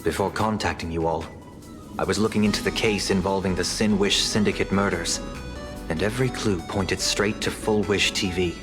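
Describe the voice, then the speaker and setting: An actor voicing an earnest young male investigator in a video game, speaking in a measured, formal way. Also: deep voice, steady tone, close to an everyday voice. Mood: solemn. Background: game music.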